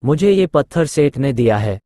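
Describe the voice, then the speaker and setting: Naive cartoon priest husband male actor, gentle simple manner, medium pitch, no room sound. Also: lively intonation, moderate pace, dry recording, clean studio sound, natural voice. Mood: trusting. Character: naive, forgetful, mild village priest husband, a man. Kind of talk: Hindi cartoon story dialogue.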